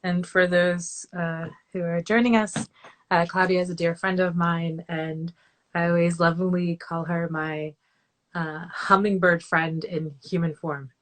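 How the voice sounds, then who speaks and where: A woman hosting a podcast interview over a video call, talking in an effusive, heartfelt way and often trailing off softly. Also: on the low side, level tone, measured pace. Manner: warm, effusive, trailing into softer asides. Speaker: podcast host. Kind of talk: casual interview.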